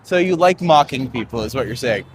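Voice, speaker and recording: A man's voice: medium pitch, animated, a little fast, a little hiss or hum. A young man hosting a live-streamed walking tour outdoors on a city street, rambling and chatty with lots of fillers, recorded on a phone.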